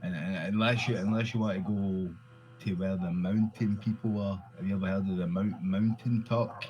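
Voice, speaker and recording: A man's voice: deep voice, fairly even intonation, normal speed, darkish tone, muffled recording, a little hiss or hum. A Glaswegian Scottish man in casual conversation, talking loosely, repeating himself and swearing freely. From Glasgow, Scotland.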